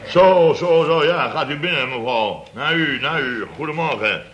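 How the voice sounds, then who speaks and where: Sharp, blustering, muddled company boss. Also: male voice, fairly high pitch, lively intonation, moderate pace, slight background noise. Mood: flustered. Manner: rambling, repetitive, tags phrases with "hè". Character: blustering, muddled male boss of a building firm. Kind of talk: 1970s Dutch radio comedy acting.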